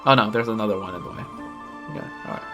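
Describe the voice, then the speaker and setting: A man's voice: lowish voice, rapid-fire, loud music behind the voice. A young man chatting casually while playing a game on a livestream, rambling with lots of restarts and self-deprecating asides. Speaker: streamer. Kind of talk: casual gameplay livestream chat.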